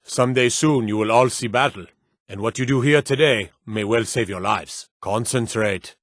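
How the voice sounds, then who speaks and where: An actor playing a gruff, proud Nord guard in a fantasy video game, blunt and curt with folksy rustic phrasing. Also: male voice, mid-range voice, normal speed, a bit bright, dry recording, studio quality, natural voice. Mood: stern, instructing.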